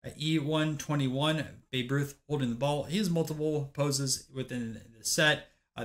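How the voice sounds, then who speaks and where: Male host, casual voice-over, relaxed delivery with filler words, middle pitch. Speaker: card collecting channel host. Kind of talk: casual narrated card rundown.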